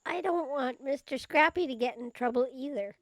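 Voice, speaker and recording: A woman's voice: high-pitched, normal speed, no room sound, close to an everyday voice. A woman playing an earnest young scrapyard girl in an old-time radio mystery, chatty and naive with folksy kid phrasing. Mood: worried, apologetic.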